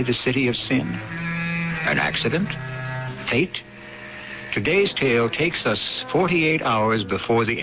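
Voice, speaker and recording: A man hosting and narrating a radio mystery drama in a deliberate, portentous, rhetorical manner. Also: medium pitch. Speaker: host and narrator. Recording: muffled radio broadcast with music.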